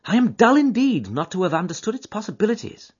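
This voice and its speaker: A man reading an audiobook voices an eager, high-strung detective who reasons aloud quickly and emphatically. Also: very animated, breathy edge, full character voice. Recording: dull lo-fi audiobook recording.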